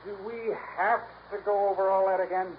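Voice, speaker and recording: An American actor playing a glib, defensive, high-spirited young twin in a 1950s radio drama. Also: male voice, fairly even intonation, brisk, lightly acted. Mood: cocky, protesting. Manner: glib, protesting, insistent. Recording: dull old radio recording, faint noise.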